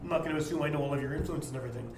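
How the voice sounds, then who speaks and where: A man hosting a casual podcast interview in a basement, hoarse and wheezing because he is losing his voice, rambling in a self-deprecating way. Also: fairly high pitch, racing, slight background noise. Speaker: podcast host. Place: home basement.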